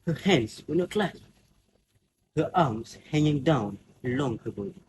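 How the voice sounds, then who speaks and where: A man acting a solemn stranger in a student stage play, recounting things deliberately in short phrases. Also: fairly high pitch, lively intonation, darkish tone. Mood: corrective, concerned. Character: solemn male stranger who found a drowned woman. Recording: noisy amateur recording with ambient sound.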